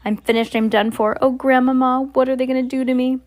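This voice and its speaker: A woman's voice: medium pitch, fairly even intonation, fast talker, natural voice. Woman reading as a frightened, trapped young boy. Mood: despairing.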